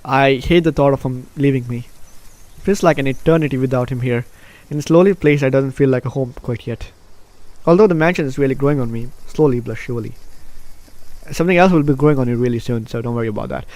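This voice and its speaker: Male let's play host, middling pitch, reads narration aloud and breaks off for wry commentary. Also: quick pace, darkish tone, dry recording. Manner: wry, teasing asides. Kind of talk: reading visual novel narration aloud with ad-libbed reactions.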